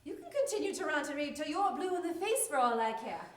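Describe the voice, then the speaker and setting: A woman's voice: high voice, very animated, fast talker, distant mic. A woman with an English accent playing a polite but easily affronted fiancée in a drama, with a formal, prim manner. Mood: indignant. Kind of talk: dramatic acting. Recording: echoey room, off mic, noisy.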